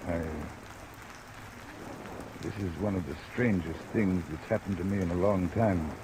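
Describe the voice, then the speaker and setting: Faint noise, lowish male voice, proper American doctor, guarded, indignant, formal, vintage radio drama. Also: a little slow. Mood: troubled. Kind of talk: 1950s radio drama acting. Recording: noisy old radio recording under rain.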